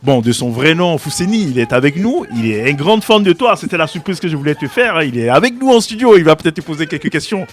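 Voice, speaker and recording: A man hosting a radio culture show, chatting in an animated way and tagging sentences with "hein". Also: on the high side, fast talker, few pauses, soft background music. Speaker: radio host.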